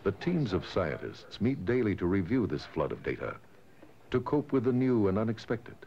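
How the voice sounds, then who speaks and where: Deep gravelly male documentary narrator. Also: very animated, normal speed, mellow. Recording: dull, dated lo-fi film soundtrack. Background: music.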